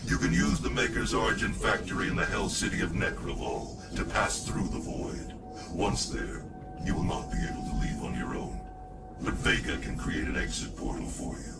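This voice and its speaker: A solemn, knowing guide from a video game, speaks with formal gravity. His low voice moves widely in pitch and sounds set back from the mic, measured and instructive. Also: wildly swooping pitch. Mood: matter-of-fact, instructive. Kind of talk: scripted game cutscene narration. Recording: distant voice under loud music.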